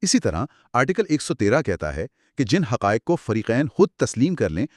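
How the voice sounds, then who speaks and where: A man's voice: medium pitch, sing-song, moderate pace, no echo, studio quality. A man co-presenting a lecture as a scripted two-person dialogue, explaining in a didactic way.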